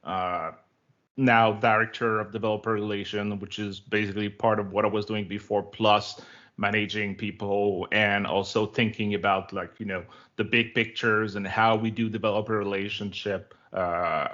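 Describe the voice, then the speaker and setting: Low-voiced man with a Quebec French accent. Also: little pitch movement, normal speed, a bit bright, highs cut off. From Quebec, Canada. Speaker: interview guest, developer relations director. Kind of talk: casual interview answers.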